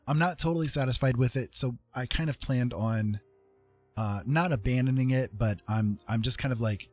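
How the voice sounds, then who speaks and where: A man's voice: mid-range voice, normal speed, dry recording. A man hosting a casual art livestream, talking in a friendly, welcoming, rambling way. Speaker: livestream host, digital artist. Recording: music playing behind the voice. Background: music.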